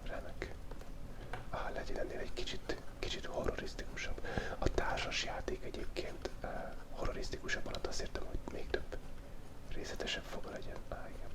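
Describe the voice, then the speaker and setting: A man whispers a playful, rambling, hesitant ASMR chat as host of a drawing channel, while he has a cold.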